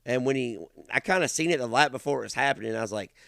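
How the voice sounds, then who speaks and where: A man with a Kentucky accent chatting casually as a podcast host in a folksy, halting manner. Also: mid-range voice, vocal fry, fairly bright tone, no echo, studio quality. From Kentucky, United States. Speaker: podcast host, race announcer. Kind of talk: casual chat.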